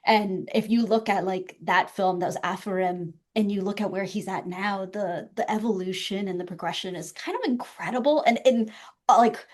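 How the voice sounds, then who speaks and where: A woman's voice: mid-range voice, normal speed, a little mellow. A woman whose first language is Vietnamese, a guest in a podcast interview, speaking thoughtfully with some hedging fillers. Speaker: guest filmmaker. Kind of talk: casual interview talk.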